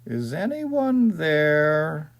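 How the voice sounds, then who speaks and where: A man voicing a nervous character lost in the dark for a story, muttering hesitantly with uneasy bravado. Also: a little high, sing-song, drawn-out words, gravelly, a little hiss or hum, pitched above the performer's own voice, heavily acted. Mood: fearful calling. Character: nervous man lost in darkness, forcing himself calm.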